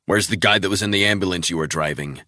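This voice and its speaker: Brief: a man as a deep-voiced, threatening agent, clipped and hard. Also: fast, bright, forceful tone, dry recording, studio quality. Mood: menacing. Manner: blunt, menacing. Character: hard, furious male agent.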